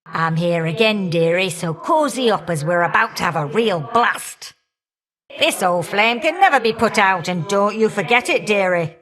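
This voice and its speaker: A woman's voice: medium pitch, lively intonation, normal speed, fairly bright tone, natural voice. Woman doing a fiery older goddess for a game, cheeky and folksy, teases you fondly. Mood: cheeky, affectionate. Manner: folksy, teasing, affectionate endearments and colloquial turns of phrase. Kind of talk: video game character voice acting.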